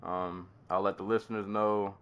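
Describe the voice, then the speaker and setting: Congested deep-voiced male podcast host. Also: little pitch movement, moderate pace, fairly bright tone, smooth. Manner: laughs often and coughs. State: congested with a summer cold. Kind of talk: casual podcast chat.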